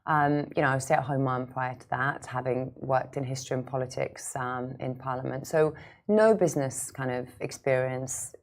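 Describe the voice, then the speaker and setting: A woman's voice: extremely low pitch, fairly even intonation, moderate pace. A woman in her thirties from Lithuania, a founder speaking conversationally as an interview guest, with emphatic repetition. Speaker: startup founder, podcast guest.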